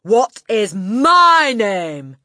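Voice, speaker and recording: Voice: mid-range voice, expressive, unhurried, fairly bright tone, dry recording, clean studio sound, voice pushed up. A storybook narrator voicing a gigantic, tyrannical headmistress who barks and sneers insults with contempt. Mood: enraged. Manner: barking, bellowing, sneering insults.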